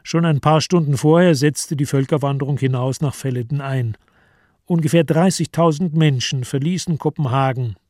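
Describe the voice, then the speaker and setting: A man narrating a literary radio play from a script in a measured, solemn manner. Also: a little high, a little mellow, no echo, clean studio sound.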